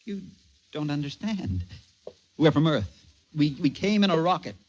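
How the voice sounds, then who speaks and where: An actor playing a proud, commanding, exasperated rocket captain from Earth in an old-time radio drama. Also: male voice, a little high, very animated, normal speed, a little mellow. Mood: earnest, baffled. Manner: commanding, emphatic. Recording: muffled, noisy old radio recording.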